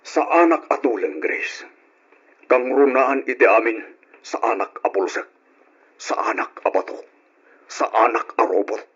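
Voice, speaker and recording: An actor playing an aggrieved, betrayed husband in an Ilocano radio drama, speaking earnestly with emotion and an Ilocano accent. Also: male voice, mid-range voice, expressive, unhurried, long pauses, a bit bright, slightly rough. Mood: firm, pained. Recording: muffled, dull old radio drama recording.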